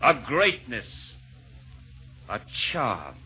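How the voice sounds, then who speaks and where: Grand, lilting old-time radio Santa Claus. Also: male voice, medium pitch, wildly swooping pitch, drawn-out words, breathy edge, heavily acted. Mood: proud, admonishing. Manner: grand, declamatory rhyming delivery. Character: jolly, eloquent Santa Claus championing Christmas spirit. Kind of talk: 1940s radio verse drama acting. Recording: dull vintage 1940s radio recording.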